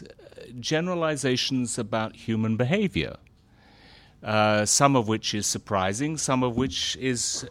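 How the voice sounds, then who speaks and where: A middle-aged man and former foreign correspondent speaking to a gathering, halting with fillers at first, then growing more animated. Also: mid-range voice, no echo. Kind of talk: speech to an audience.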